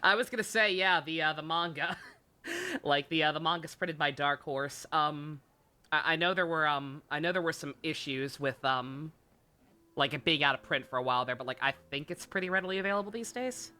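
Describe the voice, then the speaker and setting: Bright-voiced, sardonic woman game streamer. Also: low-pitched, lively intonation, normal speed. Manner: sweary, sardonic, rambling. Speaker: game livestreamer. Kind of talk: casual live stream commentary and recap. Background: game music.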